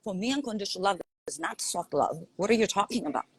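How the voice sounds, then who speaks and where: A woman's voice: medium pitch, animated, fast, husky, no room sound. A woman with a Lithuanian accent, a coach talking earnestly in a podcast conversation, often asking "right?". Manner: earnest, emphatic, repeats herself and asks "right?".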